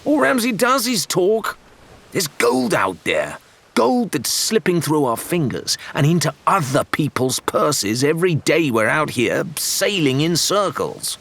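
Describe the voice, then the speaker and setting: A narrator putting on a bitter pirate crewman in a storybook adventure. His voice rides up from the throat in pitch, full of sneering complaint and quick temper. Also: big pitch swings, a bit bright, heavily acted. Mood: explosive anger. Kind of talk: dramatized audiobook dialogue.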